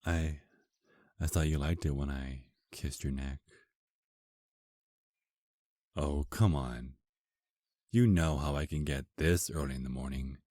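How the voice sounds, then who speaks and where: A man's voice: a bass voice, mellow, no echo, studio quality. A man playing an affectionate, teasing vampire husband in an intimate ASMR romance roleplay, murmuring tenderly and flirtatiously. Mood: teasing, amused.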